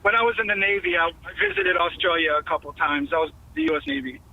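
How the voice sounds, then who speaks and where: Guy calling in over a thin phone line, kinda high voice, rambles. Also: sing-song, fast, creaky. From New York. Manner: rambling, hesitant. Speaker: caller.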